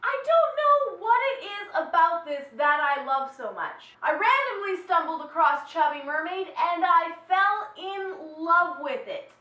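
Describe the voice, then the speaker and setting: A woman delivers chatty, enthusiastic vlog commentary. Her voice is extremely high and bright. It sounds recorded in an echoey room, a little way from the microphone. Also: big pitch swings, a little slow, hardly any pauses, faint music behind. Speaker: animation vlog host reviewing short films. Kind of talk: upbeat vlog review commentary. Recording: echoey room, distant from mic.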